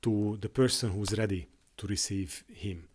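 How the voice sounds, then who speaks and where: A man with a fairly low voice, a native Hungarian speaker talking without a script. He speaks haltingly, stumbling over restarts and filling pauses as he works his way through each thought. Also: darkish tone, breathy edge. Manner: halting, many restarts and fillers. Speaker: YouTuber. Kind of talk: unscripted monologue on faith.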